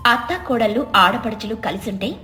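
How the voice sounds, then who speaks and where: A woman's voice: a little high, lively intonation, normal speed, bright, forceful tone. A woman reading a scripted moral story as a storyteller. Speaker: story narrator. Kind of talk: scripted narration of moral stories.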